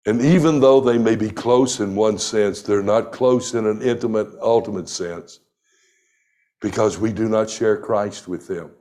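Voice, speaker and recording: Man in his sixties, lowish voice, unhurried pastor delivering a sermon. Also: warm tone. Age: 60s. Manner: slow, deliberate preaching with emphatic scripture reading.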